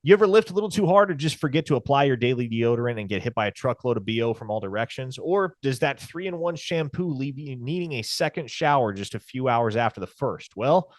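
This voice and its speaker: A man's voice: mid-range voice, expressive, brisk, hardly any pauses, no echo. A man co-hosting a sports podcast, speaking in a dry, wry and articulate way, also reading ads. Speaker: podcast co-host. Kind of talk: casual sports podcast chat and host-read ad.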